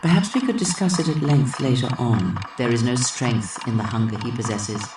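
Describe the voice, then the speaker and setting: A woman voicing a weary, stern warrior queen who speaks in a measured, stately manner in an audio drama. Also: very deep voice. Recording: roomy, distant mic with music behind.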